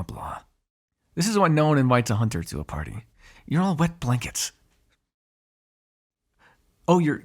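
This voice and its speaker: A man voices a snarky male vampire in an ASMR roleplay monologue. His mid-pitched voice has plenty of gravelly fry and swings animatedly as he grumbles. He comes across as sardonic and exasperated, talking as if to one companion. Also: darkish tone, exaggerated voice. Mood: mocking.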